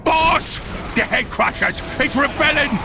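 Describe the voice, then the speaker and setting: A man voicing a dim, panicky Ork underling in a video game, with a squeaky, gravelly voice and a servile, anxious manner. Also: expressive, unhurried, heavy background noise, exaggerated voice. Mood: alarmed. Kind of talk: video game cutscene acting. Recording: loud music behind the voice.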